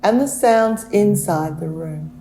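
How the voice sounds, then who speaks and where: A woman leading a guided relaxation meditation, giving gentle, soothing instructions with long unhurried pauses. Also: medium pitch, faint music behind.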